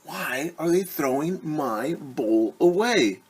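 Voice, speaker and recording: A man's voice: on the high side, animated, slow pace, husky. A middle-aged man, a teacher, reading a picture book aloud to children and explaining things simply. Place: small room at home.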